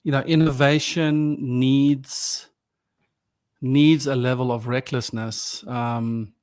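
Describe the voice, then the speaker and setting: A man's voice: medium pitch, steady tone, darkish tone. A man from South Africa, native Afrikaans speaker, in his forties or fifties, a podcast guest talking casually and reflectively with many restarts. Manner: reflective, halting with frequent restarts. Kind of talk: casual conversation.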